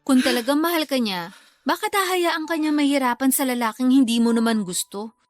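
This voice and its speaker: A woman's voice: sits high, animated, fairly quick, no echo. A woman playing a frank, scolding but supportive younger sister in a radio drama, with a Filipino accent. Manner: blunt, reproachful. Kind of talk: Tagalog radio drama acting.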